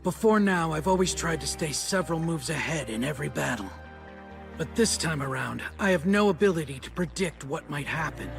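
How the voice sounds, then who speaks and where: A man's voice: a little high, creaky. An actor playing a grave, frank ninja strategist rallying his comrades in an anime dub. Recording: music behind the voice.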